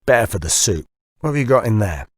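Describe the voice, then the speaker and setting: A man's voice: fairly low pitch, big pitch swings, fast talker, dry recording, clean studio sound. A man voicing a wounded, shivering diver in an audiobook, stoical and wry, with a weakened, understated delivery. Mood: pained, subdued. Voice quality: faint, weakened.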